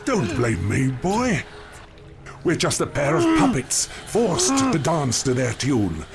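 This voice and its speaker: Gravelly, slow, taunting villain priest. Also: male voice, a little high, huge pitch swings, heavily acted. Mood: taunting, goading. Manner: theatrical, taunting, deliberate. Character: sinister manipulative fanatical priest, the hero's taunting enemy. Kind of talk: dramatic video game villain dialogue. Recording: loud music under the voice.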